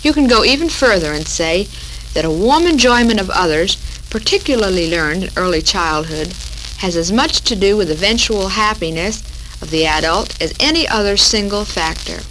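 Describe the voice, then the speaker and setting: An American woman hosting a scripted 1940s women's radio talk, reading in a measured, formal way. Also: mid-range voice, animated, fairly bright tone. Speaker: radio show host. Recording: noisy crackling 1940s radio recording.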